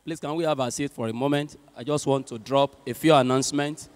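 A young Nigerian man with a Nigerian accent makes announcements at a church service in a large chapel, with many filler pauses, speaking into a handheld mic. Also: medium pitch, fairly even intonation, a little mellow. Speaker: master of ceremonies. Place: large university chapel hall. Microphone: handheld mic at a lectern. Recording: long hall reverb with faint music.

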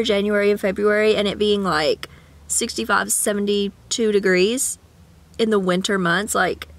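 Young woman vlogger, a bit sick with a cough, gravelly fry, rambling chat to a handheld camera in her car. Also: mid-range voice. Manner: rambling, chatty, with sing-song asides. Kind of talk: casual chat. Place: parked car. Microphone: camera at arm's length.

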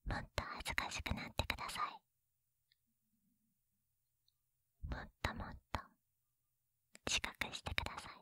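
A woman's voice: pushed and bright, no echo, lightly acted. A woman playing a sweet, lovestruck young librarian in an ASMR roleplay, whispering breathily and tenderly, close to a binaural mic. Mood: tender teasing. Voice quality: breathy, mostly unvoiced whisper. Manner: tender, slow, intimate, polite. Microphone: close binaural microphone.

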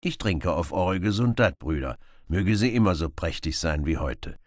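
A man reads aloud as a bold outlaw hero of a storybook, cheerful and teasing. His delivery is lively in pitch, recorded dry and close. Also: normal speed, no room sound.

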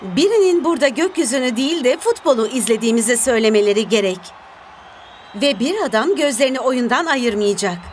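A woman's voice: fairly high pitch, animated, fast, a little hiss or hum, lightly acted. A woman voicing a chirpy female TV sports presenter in a cartoon broadcast. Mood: upbeat.

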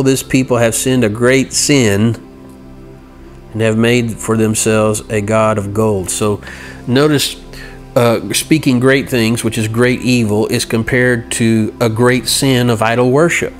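A middle-aged man teaching from scripture in an earnest, halting way, reading passages and explaining them. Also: mid-range voice, steady tone, faint music behind. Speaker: Bible teacher. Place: indoor room by a large window. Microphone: lapel mic.

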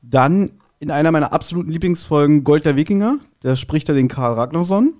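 A man's voice: fairly high pitch, lively intonation, fairly quick, a little mellow. A man talking casually as a guest on a review podcast.

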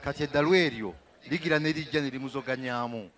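A man's voice: medium pitch, very fast, a bit bright, slight background noise, lightly acted. An actor on a theatre stage playing a husband who coaxes and soothes his angry wife with rambling explanations, into a handheld mic. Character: placating husband caught between wife and friend. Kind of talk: live stage comedy acting. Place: theatre stage with house set.

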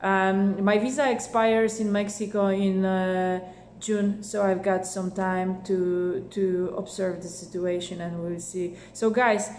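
A middle-aged woman talks casually to a camera in a roomy, echoing space. Her pitch moves little and she hesitates as she thinks. A faint noise sits behind her. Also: slow pace. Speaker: motorcycle traveller. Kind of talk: casual video update to camera. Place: small bare indoor room. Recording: roomy echo with steady noise.

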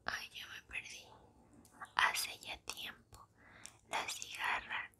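A woman in her twenties whispering in a breathy, soothing way for ASMR, with deliberate pauses, very close to the microphone. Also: rumbling low, monotone, sharp tone, a little hiss or hum. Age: 20s. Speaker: ASMR host. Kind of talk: whispered ASMR poetry reading and chat. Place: small room at home, indoors. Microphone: large foam-covered microphone very close to mouth.

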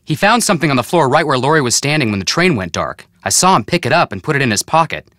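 An actor voicing a hot-headed, sulky teenage boy detective in a video game, excitable and sarcastic, with an American accent. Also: medium pitch, fast, no room sound, clean studio sound. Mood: eager, indignant. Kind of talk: video game character acting.

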